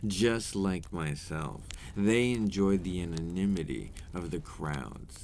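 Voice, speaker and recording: Man playing a very deep, slow, brooding vampire. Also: a little mellow, slight background noise. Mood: haunted, brooding. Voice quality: deep, breathy at times. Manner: slow, deliberate, theatrical, formal wording. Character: remorseful male vampire, seductive, brooding and menacing. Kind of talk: ASMR roleplay, dramatic monologue.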